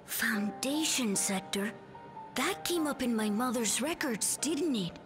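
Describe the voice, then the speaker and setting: A woman's voice: on the high side, lively intonation, slow pace, over music. An actress playing an inquisitive young female companion in a video game. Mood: curious. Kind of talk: dramatic video game voice acting.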